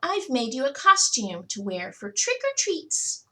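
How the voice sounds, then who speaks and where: A woman's voice: high-pitched, wildly swooping pitch, a little slow, a bit bright, voice pushed up, heavily acted. A woman voicing a doting, proud mother dachshund in a storybook, calling out fondly in a sing-song way. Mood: cheerful, doting.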